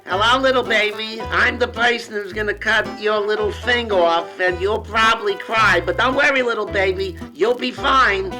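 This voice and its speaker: A man's voice: high-pitched, moderate pace, few pauses, music in the background, natural voice, rough recording. A man playing a jokey showbiz comedian in a mock television interview, with a stammering delivery. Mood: jokey reassurance.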